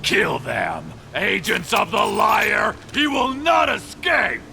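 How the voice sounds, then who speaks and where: Fanatical hostile hunter, high hoarse yelling, wildly swooping, video game combat, noisy. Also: male voice, wildly swooping pitch, slow, heavily acted. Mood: enraged.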